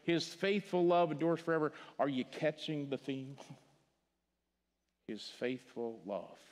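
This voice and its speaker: An older man from Missouri with a Missouri accent, preaching a funeral sermon in a church sanctuary, earnest, repeating words and dropping to a hush on key phrases. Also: fairly high pitch, animated, measured pace. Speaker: pastor. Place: large church sanctuary stage. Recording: long hall reverb from the church.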